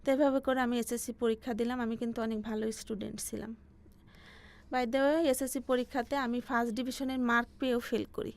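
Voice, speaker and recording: A woman in her forties with a Bangladeshi accent, telling her life story as an interview guest in a halting way, circling back over her phrases. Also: fairly high pitch, little pitch movement. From Bangladesh, Faridpur region. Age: 40s.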